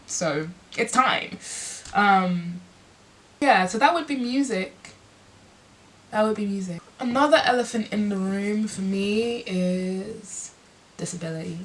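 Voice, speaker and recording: A woman's voice: mid-range voice, a little hiss or hum. Congested young woman, candid vlog. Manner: reflective, heavy use of "like" fillers. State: congested, sniffly. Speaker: musician and performer.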